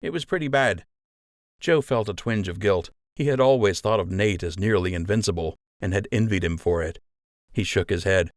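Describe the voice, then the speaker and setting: Low-voiced man narrating an adventure audiobook. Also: normal speed, dry recording, studio quality. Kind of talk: scripted narration of a children's adventure story.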